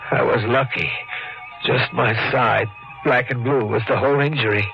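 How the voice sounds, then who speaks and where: An actor playing a meek, guilt-haunted shopkeeper in a radio mystery, brooding and halting as he speaks. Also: medium pitch, fairly even intonation, creaky, lightly acted. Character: anxious, guilt-haunted shopkeeper husband, meek simple man. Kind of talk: 1940s radio mystery acting, first-person narration and dialogue. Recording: muffled vintage radio recording with loud music.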